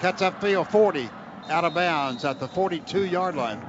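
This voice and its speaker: A high-pitched American man does live football play-by-play for radio. His calls come in clipped phrases with folksy exclamations. The sound is muffled, with loud stadium noise behind him. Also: expressive, moderate pace. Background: loud crowd and stadium ambience.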